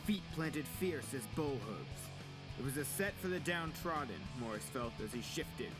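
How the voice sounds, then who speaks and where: A man's voice: medium pitch, expressive, moderate pace. A man reading a dramatic story as scripted audiobook narration. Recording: music playing under the voice. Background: music with guitar.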